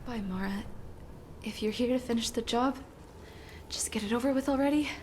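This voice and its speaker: A young woman playing an earnest, sweet runaway princess in a video game, with a soft, sometimes breathy delivery. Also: on the high side, normal speed, slight background noise, natural voice. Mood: pained, pleading. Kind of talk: video game character dialogue.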